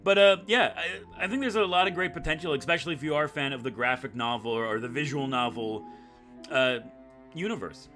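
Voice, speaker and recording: A man's voice: mid-range voice, a little fast, sharp tone, faint music behind. A man giving casual, joking commentary with lots of slang as he reads through a visual novel. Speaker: let's player reading a visual novel aloud. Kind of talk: casual game playthrough commentary.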